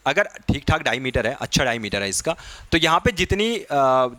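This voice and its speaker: A man's voice: medium pitch, big pitch swings, moderate pace, fairly bright tone, no echo. A man teaching an exam-prep lesson, explaining step by step with frequent short check-in questions and mixing in English technical terms. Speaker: physics teacher for exam preparation.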